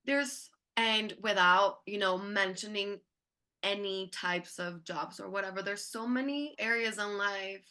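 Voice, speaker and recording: A young woman hosts a podcast and asks questions with eager, encouraging enthusiasm. Her voice has a bright, sharp edge at an ordinary pitch. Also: measured pace, clean tone. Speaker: podcast host. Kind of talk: casual interview chat.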